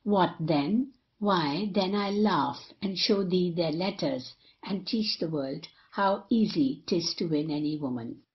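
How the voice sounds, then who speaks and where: A woman's voice: lowish voice, slow, few pauses, dull audio. A woman playing a cynical, scheming young male rake in a period stage comedy, boastful and rhetorical.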